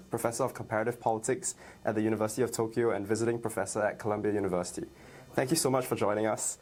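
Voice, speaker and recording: Fast-talking young male student interviewer. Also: medium pitch, a little mellow. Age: young adult. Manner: quick, with many likes and yeahs.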